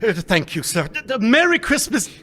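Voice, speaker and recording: A man's voice: sits high, big pitch swings, normal speed, a bit bright, a little hiss or hum. A man playing a timid, deferential clerk in a live radio play, hesitant and stammering.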